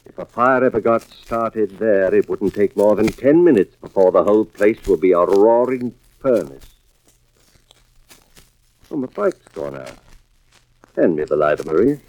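A man playing a grave, suspicious husband and fire investigator in a mid-century radio drama, with deliberate, dramatic delivery. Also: lowish voice, animated, darkish tone. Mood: probing, grave. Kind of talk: 1940s-50s radio drama acting, first-person narration. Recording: muffled vintage radio recording with music behind.

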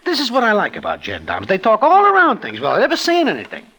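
Wildly swooping, high-pitched Broadway wise guy. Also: huge pitch swings, fast talker, pushed and bright, full character voice. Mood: deadpan. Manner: formal slangy present-tense speech without contractions. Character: deadpan Broadway narrator, a streetwise man with formal slangy diction. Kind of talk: 1940s-50s radio drama acting.

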